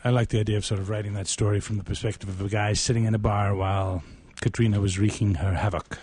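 A man hosting a radio show, talking casually and somewhat haltingly, often repeating words as he goes. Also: on the low side, fairly even intonation, a little mellow, slightly rough, no room sound. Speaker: radio show host and writer-performer. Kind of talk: casual on-air conversation.

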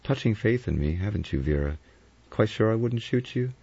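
A man voicing a cool, sardonic adventurer in an audiobook, with an unhurried, wry manner. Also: fairly low pitch, lively intonation, gravelly, darkish tone, husky, pitched above the performer's own voice, exaggerated voice. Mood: teasing. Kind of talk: audiobook character acting. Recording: slightly dull, dry recording.

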